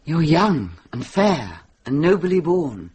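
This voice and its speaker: An older British actress playing a worldly-wise, firm, compassionate medieval nun in a radio drama, speaking in a measured, gently admonishing way. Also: female voice, low-pitched, big pitch swings, breathy edge, heavily acted. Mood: earnest admonishing.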